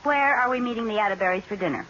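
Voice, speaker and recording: Flighty, high-pitched radio sitcom wife, played by an actress. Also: female voice, very fast, lightly acted. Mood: defensive, flighty. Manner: bubbly, flighty, argues back brightly. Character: scatterbrained, indecisive, playful wife in a radio sitcom. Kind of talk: 1940s radio comedy acting. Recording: dull, noisy old radio recording.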